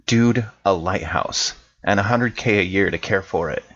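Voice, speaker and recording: A man playing an excitable, laid-back dude who talks in a casual, slangy, chatty way. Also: sits low, moderate pace, highs cut off. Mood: chatty excitement.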